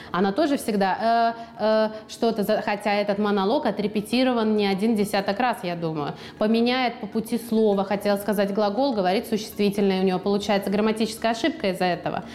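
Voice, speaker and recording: A woman guest on a studio podcast, talking in a lively, chatty way and now and then acting out what people said to her. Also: medium pitch. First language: Russian. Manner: lively, chatty, acts out quoted speech. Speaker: guest author. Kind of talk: podcast conversation.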